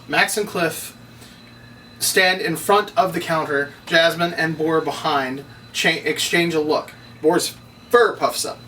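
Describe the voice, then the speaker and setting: Bright-voiced male stage-direction narrator. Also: on the high side, fairly even intonation, slow. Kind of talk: scripted narration at a table read. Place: room, read aloud at a table. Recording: distant room mic, faint noise.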